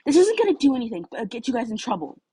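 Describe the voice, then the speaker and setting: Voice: mid-range voice, very animated, rapid-fire, warm tone, breathy edge, dry recording, voice pushed up. A woman voicing an earnest, anxious teenage boy who pleads and explains in a flustered way in a story reading. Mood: urgent pleading.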